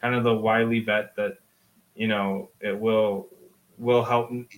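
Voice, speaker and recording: A man's voice: fairly low pitch, level tone, unhurried, rough recording. A man co-hosting a sports podcast in casual discussion, explaining things chattily with plenty of slang.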